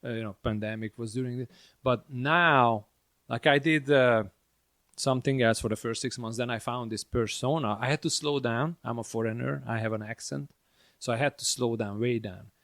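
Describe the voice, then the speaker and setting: This is a man with a Hungarian accent in relaxed podcast conversation. His voice sits at an average pitch, and the sound is dry and close. He talks like a comedian, with blunt, dry humor and the odd swear word. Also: moderate pace, no room sound. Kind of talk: casual chat.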